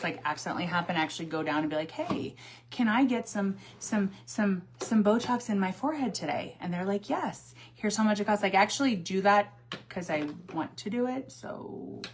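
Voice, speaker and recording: A woman's voice: medium pitch, animated, brisk, background noise. A woman in her forties chatting casually on a livestream while doing her makeup, sassy and chatty, answering viewer comments and laughing often. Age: 40s. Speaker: livestream host.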